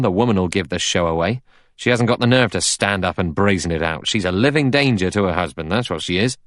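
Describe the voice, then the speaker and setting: A man's voice: on the low side, a bit bright, no echo, clean studio sound, voice pushed up. A man voicing a blunt, aggressive, suspicious detective in an audiobook, arguing in pushy, colloquial bursts. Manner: pushy, colloquial, emphatic argument. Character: blunt, aggressive middle-aged male detective, suspicious and cocksure.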